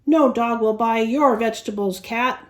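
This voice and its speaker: A woman voicing a grumpy, bullying male dog sheriff in a children's storybook, gruff and taunting. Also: on the high side, normal speed, natural voice. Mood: gruff, bossy.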